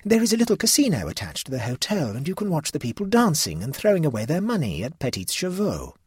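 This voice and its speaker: A man's voice: a little high, huge pitch swings, fast talker, husky, dry recording, voice pushed up, full character voice. A man voicing a group of fussy young nieces. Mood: coaxing. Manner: coaxing and fussy.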